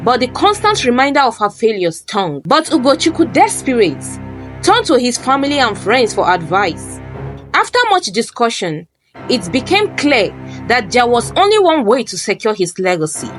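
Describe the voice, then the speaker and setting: A woman storyteller giving a scripted, dramatic narration of a folktale. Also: on the high side. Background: faint music.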